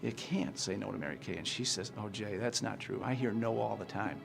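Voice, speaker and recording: A man with a very gravelly, mid-pitched voice speaks conversationally, with clear music playing under him. The tone is admiring and easygoing. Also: a little fast. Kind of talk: interview for a tribute video.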